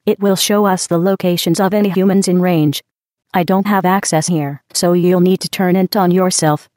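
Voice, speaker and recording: A woman voicing a cold, sardonic female AI that runs test chambers in a video game. She speaks with deadpan, passive-aggressive mock politeness through a synthetic robotic filter. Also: lowish voice, no room sound, studio quality. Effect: synthetic robotic vocal filter.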